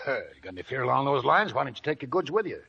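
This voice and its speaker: Cackling old prospector guy, sing-songy husky voice, dull lo-fi old radio. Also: medium pitch, very animated, fast, exaggerated voice. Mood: amused. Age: older. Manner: chuckling, folksy drawl. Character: cackling old male gold prospector, shrewd. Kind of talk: 1940s radio acting.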